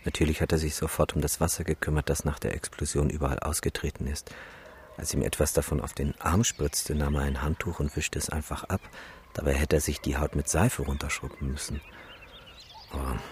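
Weary, sardonic male photographer for radio drama; extremely low register, skeptical edge, faint background noise. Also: level tone, a little fast. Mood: somber, reflective. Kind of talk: naturalistic radio drama acting.

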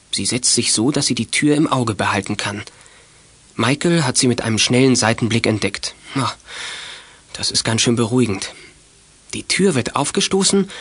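A man's voice: mid-range voice, a bit bright, breathy edge, dry recording. A man narrating a youth radio play in a scripted storytelling style.

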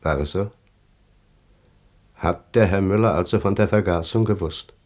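An actor playing a grave, bitter survivor back in Vienna in a German radio play, speaking in a deliberate, probing way. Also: male voice, low-pitched, darkish tone. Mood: bitter, accusing. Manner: deliberate, brooding, probing questions.

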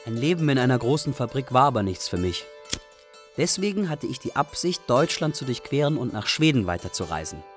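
A man's voice: mid-range voice, very animated, brisk, faint music behind, close to an everyday voice. A man playing an ironic, stubborn young refugee narrating in a literary radio play.

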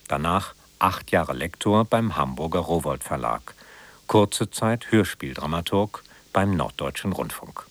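A man reading a scripted biographical introduction as a radio presenter, in a measured, formal manner. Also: low voice, no echo.

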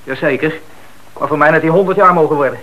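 Man playing a high-voiced, cocky petty crook. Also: quick pace, dull audio, lightly acted. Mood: surly defiance. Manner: indignant, blustering, streetwise. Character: cocky, defensive small-time male crook. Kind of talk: radio play acting.

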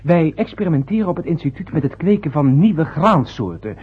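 A breathy, lecturing student son. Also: male voice, on the high side, sing-song, a little fast, heavily acted. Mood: defensive. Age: young adult. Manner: patient, lecturing. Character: young adult son, a proud biology student. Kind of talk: radio play acting. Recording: dull lo-fi old radio recording.

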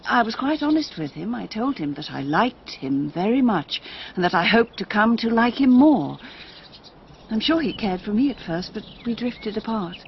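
Restless, guarded British gentlewoman, measured and dramatic; muffled, noisy radio drama recording. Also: fairly high pitch, very animated, creaky. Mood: bitter, resolute. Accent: British English. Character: aloof, restless, unhappily married English-Russian gentlewoman.

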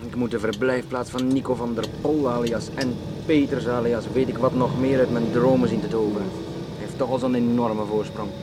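Man acting deadpan sardonic investigator, mid-pitched male voice, laconic, radio play, clearly noisy vehicle ambience. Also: moderate pace, close to an everyday voice. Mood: dry, sardonic. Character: dry, sardonic male investigator hunting an elusive swindler. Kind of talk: naturalistic Dutch radio drama acting. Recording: noisy car-interior radio play recording.

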